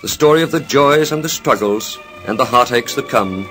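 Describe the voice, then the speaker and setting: A man's voice: medium pitch, moderate pace. A man narrating a vintage radio soap opera as its announcer, with a formal, sentimental and dramatic delivery. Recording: vintage radio transcription recording with music. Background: music.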